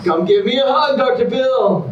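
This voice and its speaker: An actor playing a gushing reality contest host in a staged musical comedy reading. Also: male voice, very high voice, expressive, moderate pace, muffled recording. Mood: gushing showmanship. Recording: very noisy, roomy, distant stage recording.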